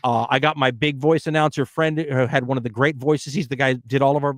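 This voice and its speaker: A middle-aged man hosting a podcast, telling stories in an animated, emphatic way during casual conversation. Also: mid-range voice, fast talker, dry recording, clean studio sound. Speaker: podcast host.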